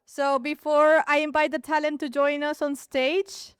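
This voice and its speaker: High-voiced upbeat woman hosting an event. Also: level tone, a little slow, no echo, studio quality. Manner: upbeat, encouraging. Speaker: event host. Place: theater stage.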